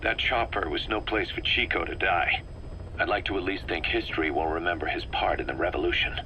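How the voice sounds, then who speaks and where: A man's voice: low-pitched, fairly quick, bright, forceful tone. A man playing a bitter, driven middle-aged military officer in a video game, briefing in a clipped, emphatic way. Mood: somber, reflective. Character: bitter, driven military second-in-command, vengeful and intense. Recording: faintly noisy cassette tape recording.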